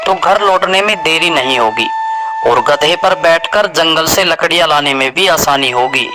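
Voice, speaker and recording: A man's voice: a little high, normal speed, over music, natural voice. A man playing a poor, simple, trusting villager in a Hindi moral story. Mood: musing.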